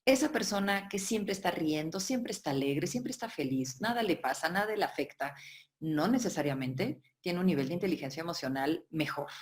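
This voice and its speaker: A woman's voice: lowish voice, animated, normal speed. A woman from Barcelona, giving a webinar lecture as a workshop facilitator, explaining at length and often checking in with her listeners. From Barcelona, Spain. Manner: explanatory, with frequent check-ins and filler "eh".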